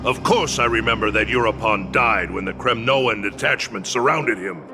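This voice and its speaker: An actor playing a proud, stern old warrior retainer in a video game, bitter and scornful, bound to tradition. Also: male voice, lowish voice, noisy background, lightly acted. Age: older. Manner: slow, weighty, scornful delivery. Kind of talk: dramatic video game voice acting. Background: loud music.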